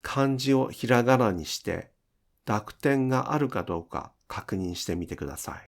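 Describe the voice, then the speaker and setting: A man teaching pronunciation in an instructional style, enunciating deliberately and carefully for language learners. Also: medium pitch, animated, creaky. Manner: deliberate, careful enunciation with demonstrated example words.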